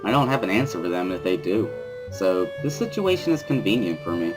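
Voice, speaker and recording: A man's voice: low-pitched, very animated, a little fast, over music. A man reading first-person scripted narration from a visual novel as a dramatic reading.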